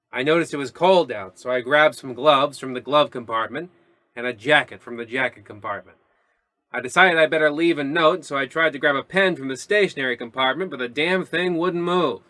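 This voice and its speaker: A man's voice: mid-range voice, pushed and bright, no echo. A man playing an overconfident, melodramatic police detective in an old-time radio comedy style. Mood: boastful. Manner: melodramatic, emphatic. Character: excitable, overconfident male police detective with absurd logic.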